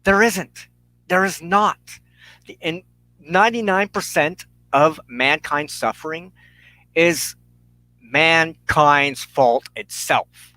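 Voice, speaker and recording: A man delivers an impassioned, sermon-like monologue at an unhurried pace. His voice sits a little higher than average, and he speaks deliberately, repeating phrases for emphasis and leaving dramatic pauses. The sound is close and dry, with hardly any room in it. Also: pushed and bright, dry recording, rough recording. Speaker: preacher and host of a spiritual show.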